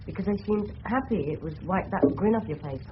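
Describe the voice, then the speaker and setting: A woman's voice: medium pitch, lively intonation, a little fast, natural voice. An actor with a light voice playing a polite, observant teenage English boy in a radio drama, earnest and respectful with an elder. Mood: thoughtful, earnest. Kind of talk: 1970s radio drama acting. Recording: dull, noisy old radio broadcast recording.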